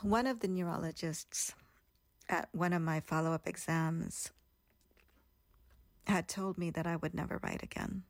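A woman's voice: lowish voice, steady tone, unhurried, pauses often, no echo. A woman in her thirties, earnestly and reflectively telling her own story as a guest in an interview. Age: 30s.

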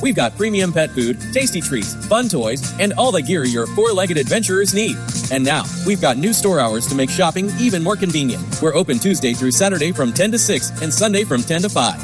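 Peppy ad guy, lively swoopy voice, music bed under him. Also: fairly high pitch, fairly quick. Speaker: ad announcer. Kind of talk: upbeat local radio ad.

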